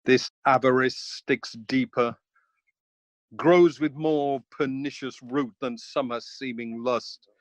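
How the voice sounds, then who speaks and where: A loyal, earnest nobleman acted by a man declaims Shakespearean verse in a medium-pitched male voice. It is a radio play performance, patriotic and indignant in temper, with a dry, close sound. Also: fairly even intonation, takes it slowly, dry recording, close to an everyday voice. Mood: counselling, earnest.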